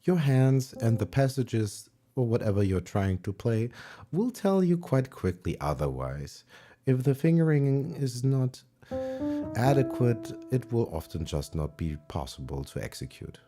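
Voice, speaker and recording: A man whose first language is German, teaching piano on a livestream, relaxed and pausing to search for words. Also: mid-range voice. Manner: relaxed, searching for words, sings and counts along. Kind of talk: livestream music lesson. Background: piano playing.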